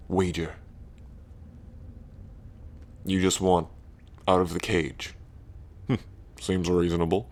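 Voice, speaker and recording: A man playing a lonely ancient dragon king in roleplay ASMR, proud yet bashful, with halting, deliberate phrasing. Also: low voice. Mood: intrigued. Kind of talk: roleplay ASMR audio drama.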